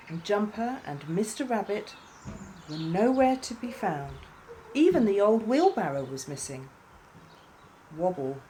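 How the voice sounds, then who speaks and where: Mellow, expressive woman storyteller. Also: fairly low pitch, slight background noise, low-quality recording. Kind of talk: scripted children's story narration.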